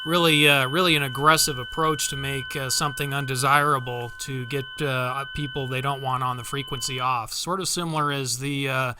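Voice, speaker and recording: A man hosting a video, explaining in an unhurried, halting way, pausing often as he walks through examples. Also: medium pitch, steady tone, background noise. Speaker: host.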